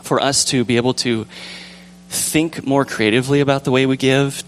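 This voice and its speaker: A young man with a calm, relaxed voice leading a casual church discussion, rambling gently with fillers and restarts. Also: mid-range voice, vocal fry, a little hiss or hum. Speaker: church group leader. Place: church sanctuary with pews.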